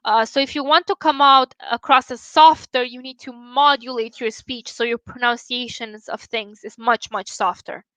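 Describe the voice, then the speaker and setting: A woman's voice: a little high, steady tone, normal speed, hardly any pauses, fairly bright tone, no echo, poor audio. A young woman from Romania with a Romanian accent, chatting candidly with her livestream viewers and swearing casually. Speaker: livestream host.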